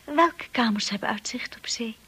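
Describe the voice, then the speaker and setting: An actress playing a timid, anxious young bride in a radio play, narrating and speaking in a hushed, hesitant way. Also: female voice, fairly high pitch, lively intonation, normal speed, in a whisper, exaggerated voice. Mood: polite, timid. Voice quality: breathy, husky.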